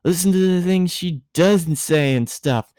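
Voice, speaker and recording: Man voicing a high, slurred tipsy woman. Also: measured pace, a little mellow, dry recording, clean studio sound. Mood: drunken insistence. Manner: rambling, emphatic. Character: tipsy drowsy woman guardian, slurred, teasing, rambling.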